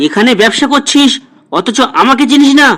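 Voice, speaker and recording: A man's voice: very high voice, brisk, bright, forceful tone. A man voicing a grumpy, foul-mouthed older father in a comedy cartoon, scolding and indignant. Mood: combative. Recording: music behind.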